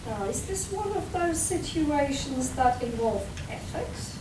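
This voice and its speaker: A woman's voice: high-pitched, big pitch swings, measured pace. A woman playing a sardonic, self-interested talking cat in a live radio play, with a dry, flippant delivery. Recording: echoey, noisy hall recorded from far off.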